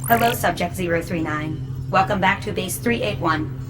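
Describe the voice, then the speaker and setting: A woman's voice: on the low side, normal speed, natural voice. A woman playing emotionless robot servitors in an audio drama, speaking in formal, precise, literal statements. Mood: detached, precise. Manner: formal, literal, detached machine-like delivery. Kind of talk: scripted audio drama acting. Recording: music bed under the voice.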